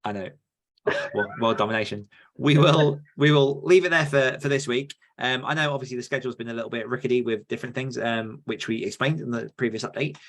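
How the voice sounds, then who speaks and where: A man's voice: medium pitch, a little fast, a bit bright. A man hosting a podcast in a casual, rambling and enthusiastic chatty style. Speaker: podcast host.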